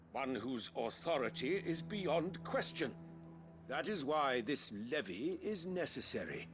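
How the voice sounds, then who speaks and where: Pompous fantasy duke, slow and imperious. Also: male voice, a little high. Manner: pompous, formal, oratorical. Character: haughty imperious male duke of a fallen empire. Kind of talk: scripted fantasy game acting.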